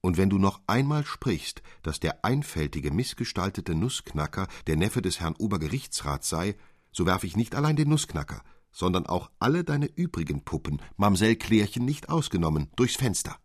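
Guy doing a strict fairy tale dad, deep voice, serious and threatening, scolding hard. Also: big pitch swings, moderate pace, breathy edge, dry recording, clean studio sound, exaggerated voice. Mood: stern warning. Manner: grave, firm, threatening. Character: stern father, a medical official, scolding.

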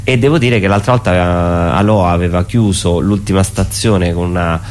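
A man with a very deep voice talks as an artist invited to present his work. He explains it informally, correcting himself and pausing to find the next phrase. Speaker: performance artist, interview guest. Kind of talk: informal spoken presentation of own show. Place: radio studio.

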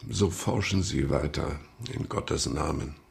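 Deep gravelly pompous court president. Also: male voice, measured pace, heavily acted. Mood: musing, evasive. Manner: theatrical, pompous, musing. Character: sceptical, worldly senior court president, evasive and philosophising. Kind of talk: German radio play acting.

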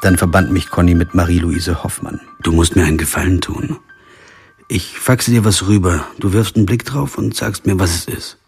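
A man's voice: low voice, steady tone, moderate pace, creaky, a little mellow, soft background music. An actor playing a sober, observant lawyer who narrates his own story in a radio crime drama. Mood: matter-of-fact. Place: studio.

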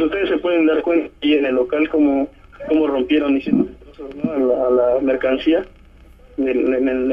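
A man from Oaxaca with a southern Mexican accent gives a halting spoken testimony by telephone, often adding short tag questions. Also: medium pitch. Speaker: detained community activist giving a statement. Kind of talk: spoken testimony by phone to a press conference. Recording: muffled, narrow-band telephone line.

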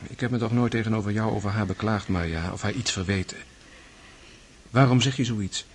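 An actor playing a proud, sceptical, disillusioned aristocratic brother in a Dutch radio drama, dry, reserved and firm in manner. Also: male voice, lowish voice, brisk, breathy edge. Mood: firm, bitter.

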